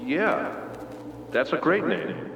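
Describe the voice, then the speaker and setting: A man voicing an apologetic, gentle father in a video game, halting and subdued. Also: fairly high pitch, lively intonation, gravelly, recorded from a distance, exaggerated voice. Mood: tender, sheepish. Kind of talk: English video game dub acting. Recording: echoey reverberant hall, background noise.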